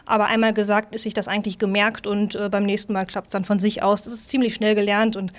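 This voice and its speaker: A woman's voice: mid-range voice, level tone, fast talker. A woman in her twenties, a table football player, talking in a chatty, easygoing and self-deprecating way. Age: 20s. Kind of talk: interview statements.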